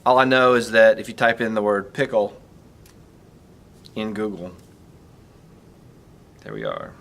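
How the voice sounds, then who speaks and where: A middle-aged man talking casually and reflectively to camera, halting and earnest as he thinks out loud. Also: fairly low pitch, very animated, creaky. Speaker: vlogger and teacher.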